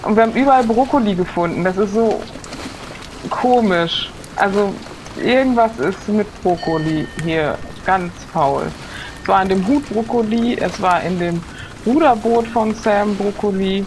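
A woman's voice: medium pitch, a little slow, a little mellow. Woman role-playing over noisy rainy ambience. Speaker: guest player in an improvised audio play. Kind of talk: improvised in-character role play.

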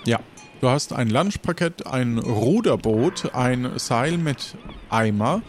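A man improvising the narration of an adventure game as game master, halting as he searches for words. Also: medium pitch, animated, a little hiss or hum.

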